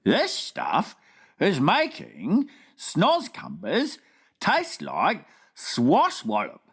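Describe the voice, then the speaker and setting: A woman's voice: sits low, wildly swooping pitch, breathy edge, voice pushed up, exaggerated voice. A woman voicing a cheerful, clumsy male giant who mangles his words, read from a storybook. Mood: eager. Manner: mangled words, drawn-out exclamations. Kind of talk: storybook reading.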